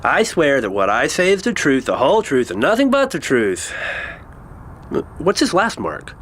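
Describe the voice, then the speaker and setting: A man's voice: on the high side, huge pitch swings, normal speed, fairly bright tone, breathy edge, full character voice. A man playing a skeptical, studious young nephew in an audio drama, challenging and incredulous. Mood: skeptical curiosity. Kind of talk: dramatized audio fiction acting.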